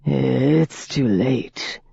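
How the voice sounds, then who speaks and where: A narrator voicing an ancient male vampire master who speaks slowly, coldly and in a low hush. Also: creaky, breathy edge, dry recording, exaggerated voice. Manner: slow, low, cold and softly whispered. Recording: dull dry audiobook recording.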